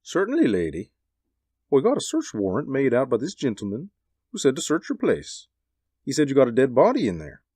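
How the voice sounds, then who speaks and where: A gruff New cop. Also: male voice, medium pitch, huge pitch swings, brisk, a little mellow, dry recording. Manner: gruff and pushy. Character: brusque, no-nonsense city policeman. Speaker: police officer. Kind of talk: 1940s radio acting.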